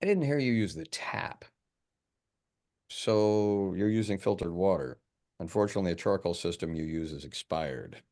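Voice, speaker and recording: Man acting investigator, deep pitch, gravelly fry, matter-of-fact, fussy, halting, film script read. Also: lively intonation, a little mellow. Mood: blunt, dry. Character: blunt, fussy, suspicious male investigator.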